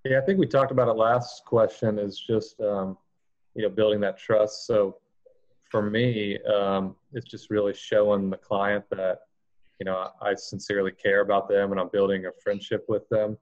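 A man's voice: fairly low pitch, level tone, moderate pace, darkish tone. An American man in his thirties talking casually as a panel guest over a lo-fi video call. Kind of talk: casual explanatory talk.

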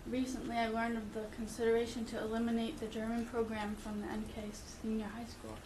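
A middle-aged woman reading a letter aloud at a school meeting, steady and careful, with occasional fillers. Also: a little high, quick pace. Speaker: parent speaking at public comment. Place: meeting room with audience. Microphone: far room camera. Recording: echoey room, distant camera, background noise.

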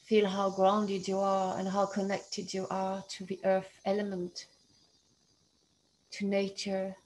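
Woman, meditation guide. Slow, soothing delivery with a flat, steady pitch. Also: low-quality recording. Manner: slow, soothing, hushed and even.

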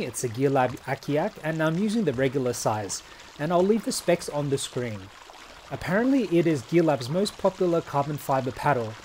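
A young man narrating a kayaking speed test in a measured, explanatory voice-over. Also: a little high, a little mellow, a little hiss or hum. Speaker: kayaking vlogger. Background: faint background music.

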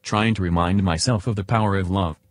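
A man's voice: sits low, fairly even intonation, fairly quick, no echo, studio quality, natural voice. A man playing an earnest, philosophical chatbot in an interview podcast, answering in a succinct, matter-of-fact way. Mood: earnest, measured.

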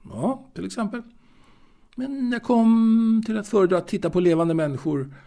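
An actor playing an old man wistfully reminiscing about his boyhood in a halting, musing audio drama monologue, with a gravelly voice. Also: high-pitched, dark tone. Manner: halting, musing, with hesitations and repeated words.

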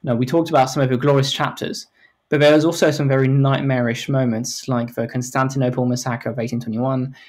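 A man moderates a panel podcast in a mid-pitched voice. He lays out long, measured questions before handing over to a guest. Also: little pitch movement, darkish tone. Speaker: podcast host.

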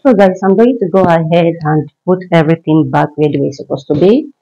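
Husky-voiced housemaid chatting while she cleans. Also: low voice, a little fast, mellow, dull audio. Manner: chatty, talks viewers through each task while working. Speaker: housemaid filming her cleaning routine. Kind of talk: casual vlog narration to viewers. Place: tiled rooms of a large home.

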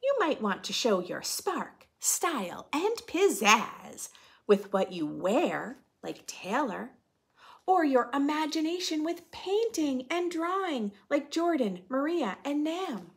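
Female children's story reader, 30s, higher register with noticeable vocal fry, expressive delivery for preschoolers. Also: takes it slowly. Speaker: host of a children's read-aloud show. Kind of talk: picture book read-aloud for preschoolers. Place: indoor room with bookshelf and armchair.

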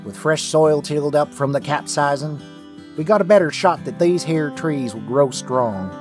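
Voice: mid-range voice, normal speed, a little mellow, soft background music, natural voice. Someone voicing a blunt folksy pony sheriff. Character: tough, practical male pony sheriff.

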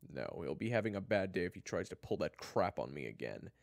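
A man voicing a hot-headed, sarcastic schoolboy with a forceful, confrontational manner in an audiobook-style story. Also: low-pitched, sing-song, fairly quick, vocal fry, dry recording, studio quality, voice pushed up, exaggerated voice. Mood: irritated, defensive.

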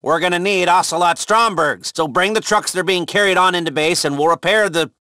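An actor playing a chatty, sardonic agent handler who gives game mission orders with wry, hesitant asides. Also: male voice, high-pitched, fairly quick, pushed and bright, no room sound, clean studio sound. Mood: wry, businesslike. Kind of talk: conversational video game mission dialogue.

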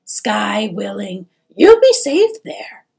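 A husky, fretful troll mother from a fantasy storybook, acted out by a woman narrator. She speaks slowly and emphatically, fussing and grieving over her child with tearful, loving weight. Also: medium pitch, big pitch swings, a little mellow, exaggerated voice. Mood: resigned.

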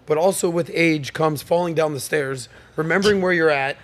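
Guy hosting a podcast panel, kinda high voice, upbeat, lots of uh and um. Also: normal speed. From United States. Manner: upbeat, joking, many fillers and restarts. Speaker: podcast host. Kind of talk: casual panel talk show hosting.

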